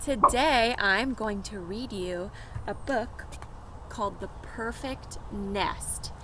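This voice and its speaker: A woman's voice: mid-range voice, slow pace. A woman reading a picture book aloud to young children, expressively and with asides to her listeners, recorded outdoors. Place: outdoors near pet chickens. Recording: noisy outdoor recording, urban ambience.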